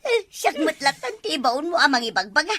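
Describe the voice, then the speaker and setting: A woman's voice: high-pitched, very animated, a little fast, bright, forceful tone, heavily acted. A woman with an Ilocano accent acting in a comedy sketch, scolding and wailing.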